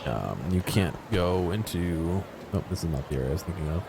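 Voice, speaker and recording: A low-pitched man gives off-the-cuff commentary while exploring a video game. He thinks out loud in a casual, wondering way. There is some background noise of street-like ambience behind his voice. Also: lively intonation, a little fast, gravelly. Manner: musing, thinking aloud. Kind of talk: casual unscripted gameplay commentary.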